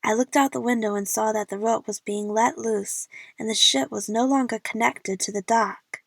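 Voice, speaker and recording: A woman's voice: a little high, fairly even intonation, normal speed, vocal fry, fairly bright tone. A young woman playing an eager, chatty movie fan who narrates her adventure in the first person for an audio drama. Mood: wry narration.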